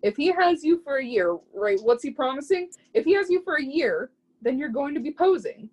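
Actress as haughty, high-voiced aspiring muse. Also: female voice, animated, brisk, darkish tone, lo-fi sound. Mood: scornful. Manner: emphatic, lofty, scornful. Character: haughty, proud, zealous woman vying to be muse. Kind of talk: play reading.

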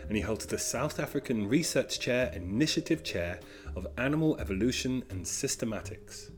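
Male podcast host, very animated intonation, mid voice, eager questioner. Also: medium pitch, moderate pace, soft background music. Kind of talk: scripted intro and casual interview questions.

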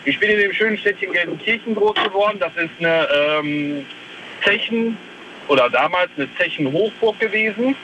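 A man's voice: high voice, moderate pace, sharp tone, slight background noise, rough recording. A man from the Ruhr area telling a long rambling story as a caller to a radio show, phoning from his parked car. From Ruhr area, Germany. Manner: chatty, rambling, self-correcting. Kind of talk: phone-in storytelling. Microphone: phone.

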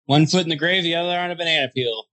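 A man's voice: fairly high pitch, very fast, sharp tone. A man in his thirties, co-hosting a casual podcast and throwing in dry jokes. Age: 30s. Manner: joking, wisecracking. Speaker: podcast co-host. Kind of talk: casual chat.

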